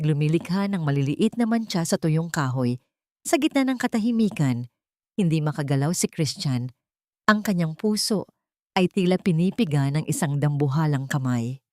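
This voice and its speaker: A woman with a Filipino accent narrating a dramatic story in a solemn, measured way, close to the microphone. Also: on the low side, a little mellow, no room sound.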